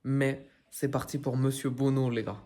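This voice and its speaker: A man's voice: medium pitch, little pitch movement, moderate pace, dark tone. Playful male vlogger over background noise. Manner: playful, mischievous. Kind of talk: casual vlog chat.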